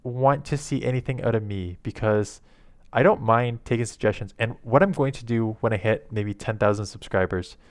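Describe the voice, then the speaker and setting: A man, rambling casually to camera in a monotone, low-key way on an old headset mic at his home desk. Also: normal speed, dry recording. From Canada. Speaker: YouTube content creator. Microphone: old headset microphone.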